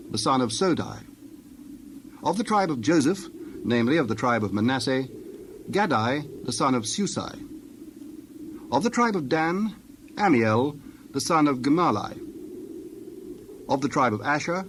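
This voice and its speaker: A male narrator with a medium-pitched voice tells a scripture story. He reads solemnly and deliberately, with birdsong and clear ambient noise behind him. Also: sing-song.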